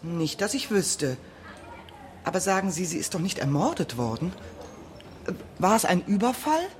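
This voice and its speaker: A woman's voice: lowish voice, big pitch swings, moderate pace. An actress playing an anxious, talkative young mother and neighbour in a radio drama, speaking in a worried, confiding way.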